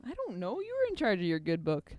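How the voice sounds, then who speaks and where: A woman's voice: medium pitch, wildly swooping pitch, quick pace, no room sound. Dry-toned woman with short replies. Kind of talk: casual chat. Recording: dull, dry lo-fi sound.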